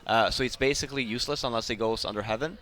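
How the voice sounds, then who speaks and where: A man's voice: mid-range voice, racing, background noise. A man casually explaining game tactics step by step as an esports analyst.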